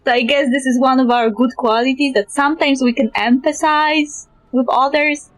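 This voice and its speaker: A woman's voice: sits high, steady tone, normal speed, no room sound. A woman with a Polish accent chatting casually on a live game stream, joking and opinionated. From Poland.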